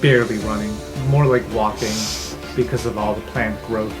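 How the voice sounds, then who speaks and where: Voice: medium pitch, steady tone, moderate pace. A game master narrating an improvised tabletop game in a casual, profane way. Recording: music bed behind the voice.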